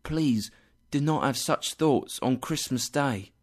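A man doing a gentle, kindly father. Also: a little high, big pitch swings, slow pace, voice pushed up. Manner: soft, kindly, pleading. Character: gentle poor male clerk, loving father.

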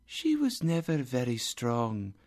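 Casting: narrator as a calm, whispery spirit guide for a storybook, gentle and thoughtful. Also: medium pitch, takes it slowly, heavily acted. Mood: calm, solemn.

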